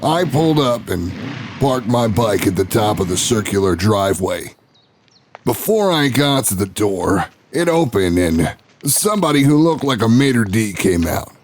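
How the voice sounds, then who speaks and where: Slow, swaggering talk show host. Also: male voice, lowish voice, big pitch swings, slight background noise. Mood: cocky, wry. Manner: folksy, swaggering, dramatic pauses and sighs. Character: brash male talk show host telling an investigative story. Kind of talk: scripted first-person narration in an audio drama.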